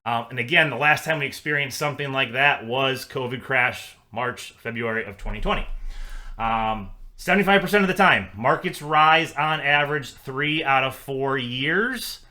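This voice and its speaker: A man's voice: medium pitch, normal speed, bright, forceful tone. A man giving a casual, upbeat client update as a financial advisor, chatty with frequent fillers.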